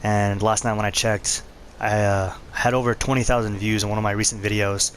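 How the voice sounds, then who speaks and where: A man with a somewhat low voice, hoarse and worn from losing his voice, hosting a gaming vlog. He talks in a laid-back, conversational way straight to his viewers. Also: little pitch movement, brisk, slight background noise. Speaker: channel host.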